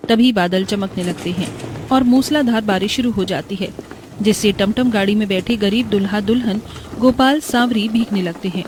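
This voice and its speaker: Woman storyteller with a medium pitch. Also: normal speed, darkish tone, a little hiss or hum. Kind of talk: scripted cartoon moral-story narration.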